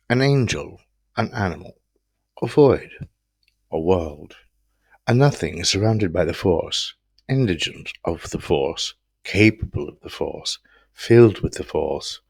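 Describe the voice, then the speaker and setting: A man reciting philosophical quotations from a script in a solemn, deliberate manner. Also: low voice, creaky, no echo. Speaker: reader of quotations.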